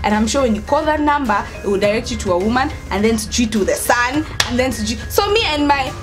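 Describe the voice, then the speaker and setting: A young woman with a Kenyan accent talks casually to her viewers. Her voice is fairly high and her delivery is emphatic, with words repeated for stress. Music plays clearly behind her. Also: expressive, brisk. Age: young adult. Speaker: vlogger, storyteller. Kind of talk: casual storytime chat to camera.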